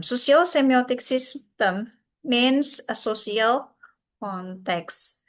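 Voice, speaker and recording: A woman from Central Java with a Javanese accent, giving a recorded English lecture, pausing often with "uh" and "yeah" and addressing the class as everyone. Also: a little high, fairly bright tone. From Central Java, Indonesia. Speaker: university lecturer.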